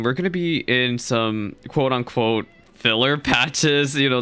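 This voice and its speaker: Young male reaction host, mid-pitched and bright, informal and rambling, light music behind. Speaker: gaming reaction video host. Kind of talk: casual reaction commentary.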